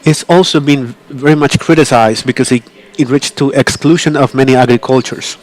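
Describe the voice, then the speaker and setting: A man interpreting a conference talk live, in a halting way with frequent restarts and self-corrections. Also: medium pitch, steady tone, low-quality recording.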